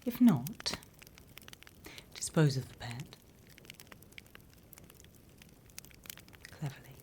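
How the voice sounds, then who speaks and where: A sly demon instructor, played by a woman with a British accent, mostly whispering close to the mic. The delivery is low, slow and measured, dryly conspiratorial with quiet menace. It is an ASMR roleplay with faint noise behind the voice. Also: sing-song. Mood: dry, instructive. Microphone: close microphone.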